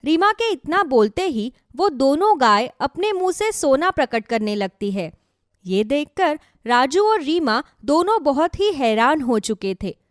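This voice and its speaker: A woman reads a scripted children's story as narrator. Her pitch is on the high side and swings expressively. The recording is dry. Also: big pitch swings, unhurried, dry recording.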